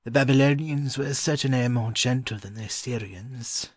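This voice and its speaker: Male actor as learned gentleman, mid-pitched, gentle, polite, weary, scholarly, storybook audio drama, dry sound. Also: no room sound, lightly acted. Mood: scholarly musing. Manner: courteous, scholarly, unhurried.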